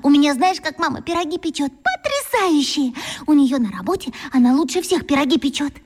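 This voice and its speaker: A woman's voice: sits high, big pitch swings, fairly quick, darkish tone. An actress voicing a chatty, eager new girl of about eleven who talks nonstop, in a radio play.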